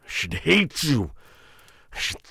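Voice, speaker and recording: A man playing a menacing, conflicted witch hunter in an ASMR roleplay, addressing his captive in a halting, intimate, taunting way. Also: fairly high pitch, big pitch swings, vocal fry, bright, forceful tone, husky, no room sound, studio quality, full character voice. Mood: conflicted, agitated.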